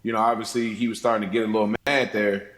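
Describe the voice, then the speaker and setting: A man's voice: lowish voice, flat delivery, fast, a bit bright, roomy sound. A man in a casual film discussion on a podcast, rambling and repeating phrases as he acts out lines. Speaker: podcast panelist.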